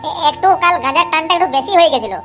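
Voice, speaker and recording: A man's voice: very high voice, lively intonation, rapid-fire, over music. A man voicing an eager, agreeable small young pilgrim boy in an amateur cartoon.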